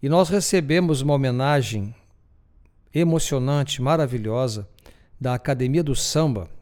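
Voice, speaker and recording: A man with a southern Brazilian accent talks as a host introducing music. His delivery is conversational and explanatory, like someone telling stories, with a mid-pitched voice. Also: moderate pace, darkish tone. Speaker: radio host and musician presenting songs.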